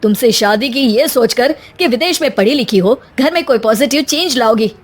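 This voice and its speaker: A woman's voice: fairly high pitch, lively intonation, normal speed, soft background music. A woman voicing a reasonable but firm young husband in a cartoon story, earnest and reproving. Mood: angry.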